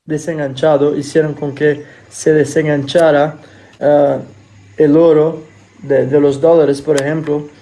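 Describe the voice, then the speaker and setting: Mellow higher-voiced man, non-native Spanish. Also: level tone, unhurried, slight background noise, low-quality recording. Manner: non-native Spanish speaker, sometimes searching for words; thoughtful, explains with examples. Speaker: podcast co-host. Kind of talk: casual discussion.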